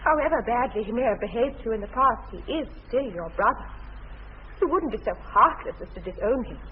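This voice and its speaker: A woman's voice: on the high side, expressive, brisk, natural voice. An actress playing a sweet, naive, primly witty young English lady in a period radio comedy, speaking politely and precisely. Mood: eager, pleading. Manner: polite, precise, earnestly artless, formal. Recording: tinny, band-limited old radio sound, faint noise.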